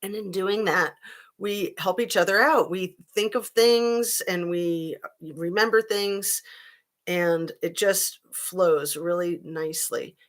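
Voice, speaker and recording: Chatty slightly raspy woman in her fifties. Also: lowish voice, slow pace. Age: 50s. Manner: chatty, frequent fillers, greets chat viewers. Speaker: livestream host. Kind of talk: casual live-chat commentary.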